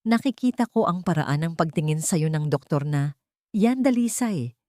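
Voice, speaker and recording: A woman's voice: deep voice, lively intonation, normal speed, a little mellow, dry recording, clean studio sound. A woman with a Filipino accent voicing a possessive, sweet-talking boyfriend who makes veiled threats in an audio story. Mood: veiled menace. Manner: sweet but menacing murmur.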